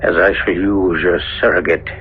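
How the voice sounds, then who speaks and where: An actor playing a menacing, seductive younger brother possessed by the devil, intense and theatrical, in 1970s radio drama style. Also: male voice, low-pitched, fairly even intonation, normal speed, close to an everyday voice. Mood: menacing, hushed. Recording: dull old radio recording with music.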